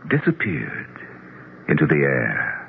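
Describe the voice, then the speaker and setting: An actor in an old-time radio drama playing a grieving, earnest, courteous psychologist. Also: male voice, very deep voice, huge pitch swings, slow pace, creaky, heavy background noise, full character voice. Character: grieving earnest male psychologist, convinced of the afterlife. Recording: tinny vintage radio broadcast.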